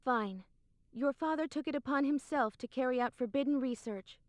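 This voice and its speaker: A woman's voice: high voice, normal speed, close to an everyday voice. An actress playing a composed, secretive young woman in a video game cutscene, with a cool, matter-of-fact delivery. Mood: grave. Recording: roomy, faint game music behind.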